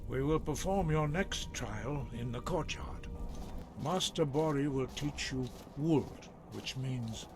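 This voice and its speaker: Slow, solemn old monk master. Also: male voice, mid-range voice, expressive. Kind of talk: video game quest dialogue. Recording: clear background music.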